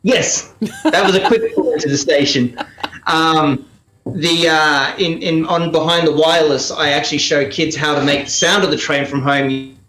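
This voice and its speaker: A man's voice: fairly high pitch, fairly even intonation, normal speed, pushed and bright. A man explaining his craft as an interview guest in casual chat, speaking over a live video call from an echoing room. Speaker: guest, radio drama storyteller and sound effects maker. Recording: roomy echo on video call.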